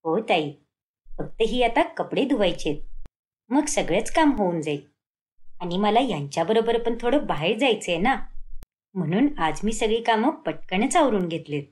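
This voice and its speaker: A woman's voice: medium pitch, expressive, moderate pace, darkish tone, lightly acted. A woman voicing a polite, dutiful young daughter-in-law in a cartoon moral story.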